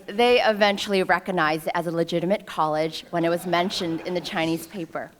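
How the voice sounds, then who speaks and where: A woman's voice: fairly low pitch, steady tone, a little fast. Wry woman in her thirties telling a story. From New York City. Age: 30s. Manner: wry, self-deprecating humor. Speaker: alumna speaker at a ceremony. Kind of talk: personal storytelling speech. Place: ceremony stage before an audience.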